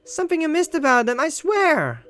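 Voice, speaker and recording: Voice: high voice, huge pitch swings, moderate pace, a bit bright, slight background noise, voice pushed up, full character voice. A game host voicing a mocking, hot-tempered teenage girl from a visual novel in a taunting, theatrical way. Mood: panicked pleading.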